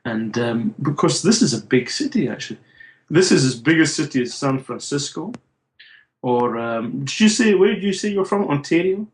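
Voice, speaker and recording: A man's voice: fairly high pitch, very animated, moderate pace. A Scottish man from Scotland, a comic book writer being interviewed over an internet call, with dry, wry humor. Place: home in a city in Japan.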